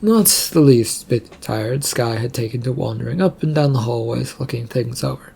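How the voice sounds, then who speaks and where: A man's voice: mid-range voice, normal speed, creaky, warm tone, husky. A man reading scripted fiction as a narrator.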